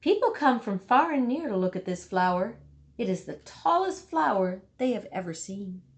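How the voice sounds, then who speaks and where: A woman reading a children's picture book aloud, with dramatic emphasis and deliberate pauses for young listeners. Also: medium pitch, very animated. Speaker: storybook reader.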